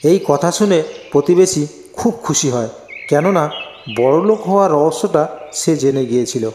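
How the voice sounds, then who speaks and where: A man's voice: a little high, expressive, normal speed, a little mellow, slight background noise. A man narrating a scripted cartoon folk story, in an echoey recording with long reverb.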